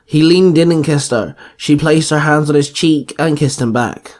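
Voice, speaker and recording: A man's voice: fairly high pitch, moderate pace, darkish tone. A man reading scripted fan fiction narration as the narrator of a story podcast.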